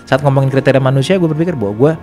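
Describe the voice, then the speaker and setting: A man's voice: mid-range voice, racing, mellow, faint music behind. A man with a Jakarta Indonesian accent telling his own story in a casual, slangy podcast conversation.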